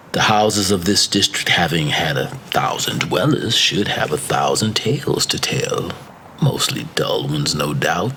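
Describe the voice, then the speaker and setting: Slow, low-voiced man reading a macabre tale. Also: pushed and bright, a little hiss or hum, low-quality recording. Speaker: story reader. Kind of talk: literary audiobook narration of a macabre tale.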